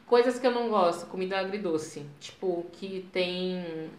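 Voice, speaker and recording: A woman's voice: lowish voice, unhurried, a little mellow. Chatty young woman, roomy sound. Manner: storytelling, acts out quoted voices. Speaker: podcast co-host. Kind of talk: casual chat.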